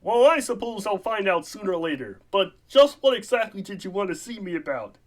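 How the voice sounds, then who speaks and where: A man's voice: high voice, expressive, a little fast. A man voicing an earnest young frog puppet in a comic radio play, urgent and a little hesitant. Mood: wary.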